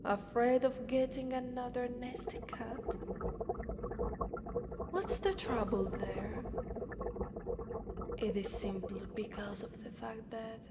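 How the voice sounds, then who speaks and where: Woman acting a slow, high-voiced obsessive Italian-accented mermaid. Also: heavy background noise. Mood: teasing. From Italy. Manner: slow, teasing, breathy half-whispers. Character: obsessive, possessive, seductive yandere mermaid. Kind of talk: ASMR roleplay voice acting.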